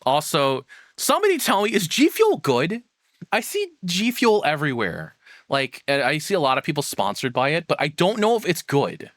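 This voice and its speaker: Guy streamer rambling his opinions, swears a bit, bright crisp voice, super dry mic. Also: fairly high pitch, wildly swooping pitch, moderate pace, no echo. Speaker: streamer reacting to a video. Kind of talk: casual livestream commentary.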